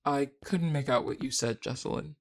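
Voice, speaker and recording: A man's voice: mid-range voice, moderate pace, vocal fry, close to an everyday voice. A man playing a cool, dismissive academic advisor in an audio drama, speaking in a formal, politely detached way. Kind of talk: dramatic audio roleplay acting.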